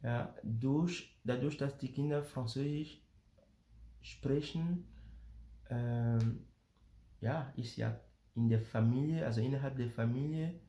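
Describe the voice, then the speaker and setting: A man from Benin talks slowly with a gravelly, mellow voice, stopping often on fillers and restarting his words. The sound carries a noticeable echo of the room around him. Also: medium pitch. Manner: slow, hesitant, many fillers and repeated words. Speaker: father sharing family experience. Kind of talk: casual explanatory talk to camera.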